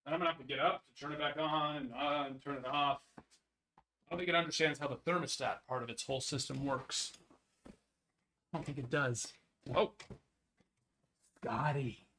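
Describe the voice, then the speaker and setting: A man hosting a sports card break livestream, chatting casually with viewers in a slightly hoarse voice. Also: a bit bright. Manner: chatty, rambling, upbeat sales pitches.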